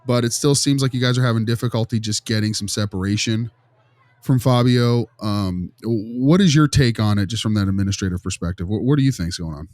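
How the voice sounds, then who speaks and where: A man playing a friendly, tactful school consultant in a role-play, halting and often rephrasing his questions. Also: on the low side, a little mellow, no echo, natural voice. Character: friendly, tactful male school behavior consultant probing gently. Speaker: visiting behavior consultant.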